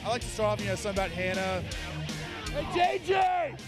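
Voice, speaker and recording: A man's voice: high voice, sing-song, brisk. A young man, a baseball fan, chatting casually and heckling in outdoor ballpark stands. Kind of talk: casual banter with shouted heckles. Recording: outdoor ballpark, loud music behind.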